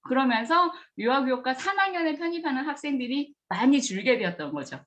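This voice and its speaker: Lively-voiced woman telling her career story. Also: a little fast. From rural Gyeongsang region of Korea. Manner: earnest, acts out remembered dialogue with lively pitch jumps. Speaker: kindergarten director giving a career talk. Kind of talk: storytelling career talk to students.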